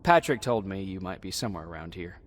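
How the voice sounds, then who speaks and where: A man's voice: deep voice, lively intonation, quick pace. A man acting a video game role as a guarded, plain-spoken resistance soldier. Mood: calm. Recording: faint background noise.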